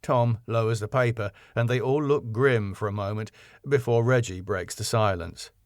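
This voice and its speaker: A man's voice: on the low side, fairly even intonation, normal speed, dry recording. Man narrating a script, very dry sound. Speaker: writer reading his own script.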